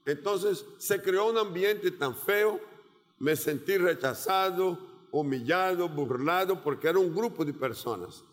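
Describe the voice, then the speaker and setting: A man with a Portuguese accent, a Portuguese native speaker preaching a sermon as a missionary, speaking in slow, deliberate phrases with measured pauses. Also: sits high, animated. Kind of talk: sermon with personal testimony. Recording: long reverb of a large hall.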